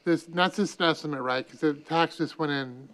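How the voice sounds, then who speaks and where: A man's voice: fairly high pitch, normal speed, fairly bright tone. An American man on a city council, talking casually and asking questions in a meeting.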